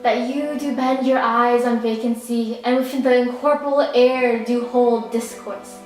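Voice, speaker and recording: A woman's voice: on the high side, fairly even intonation, a little slow, natural voice. A woman performing a frightened, distraught queen and mother in a theatrical amateur Shakespeare reading. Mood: worried, bewildered. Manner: theatrical, pleading. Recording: very echoey room, music underneath.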